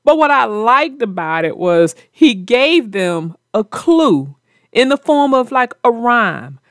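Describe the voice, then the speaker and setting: Slow, expressive woman storyteller. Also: a little high, dry recording, studio quality. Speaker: children's read-aloud narrator and host. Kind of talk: storybook narration and casual discussion.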